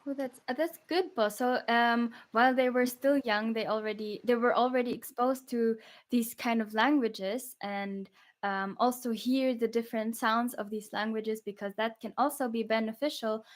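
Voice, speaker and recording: A woman's voice: on the high side, fairly even intonation, moderate pace. A woman with a Filipino accent hosting a radio interview, polite and encouraging. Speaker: radio host.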